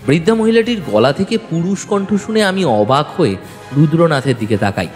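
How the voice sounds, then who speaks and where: A man's voice: a little high, lively intonation, normal speed, a little mellow. A man narrating a detective audio story in the first person, suspenseful and dramatic. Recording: long reverb, music bed. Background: music.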